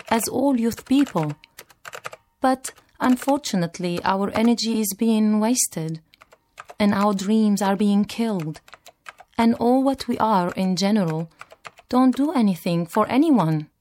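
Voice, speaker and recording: A woman's voice: medium pitch, animated, a little mellow, slight background noise. Earnest young woman from Iraq. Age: young adult. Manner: earnest, measured, non-native phrasing. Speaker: young blogger reading her posts. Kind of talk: reading personal blog entries aloud. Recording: with background music.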